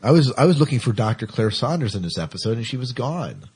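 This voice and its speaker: A man's voice: mid-range voice, big pitch swings, fast, a little mellow, slightly rough. A man hosting a podcast in a casual discussion of TV. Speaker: podcast host.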